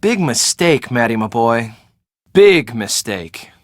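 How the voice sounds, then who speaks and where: A woman's voice: very deep voice, sing-song, takes it slowly, no room sound, studio quality, full character voice. An actress playing a breezy, sarcastic young woman in a video game, talking in a casual, slangy way. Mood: bitter disbelief. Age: young adult. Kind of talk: video game dialogue acting.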